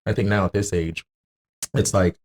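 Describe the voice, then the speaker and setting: A man's voice: low-pitched, fairly even intonation, moderate pace, a little mellow. A man as a podcast guest, chatting casually and bluntly, swearing and acting out what people say. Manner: blunt, sweary, acts out quoted remarks.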